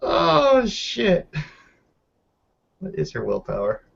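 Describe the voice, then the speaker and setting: A tabletop roleplaying player chatting and narrating informally, in halting, improvised phrases. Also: mid-range voice, huge pitch swings, gravelly, a bit bright, slightly rough. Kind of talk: casual tabletop game chat and narration. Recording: slightly dull, lo-fi audio.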